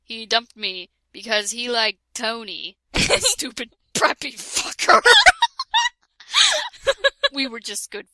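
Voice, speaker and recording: A woman's voice: on the high side, lively intonation, slow pace, pauses often, sharp tone. A woman reading a parody fan fiction aloud with a friend, giggling and breaking into laughter and sarcastic asides. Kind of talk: comedic dramatic reading with a friend.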